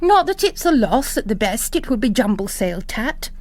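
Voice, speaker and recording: A woman voicing a bossy, gossipy older mother superior with a brisk, scornful and emphatic manner, in a story reading. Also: on the high side, very animated, a little mellow, dry recording. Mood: scandalised, dismissive.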